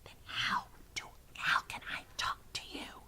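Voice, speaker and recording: Woman voicing a plucky, bright little storybook girl. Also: lively intonation, moderate pace. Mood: hushed. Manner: pitched up, often dropping to a hushed whisper. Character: plucky little girl, polite, outspoken and eager. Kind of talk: children's storybook character voice.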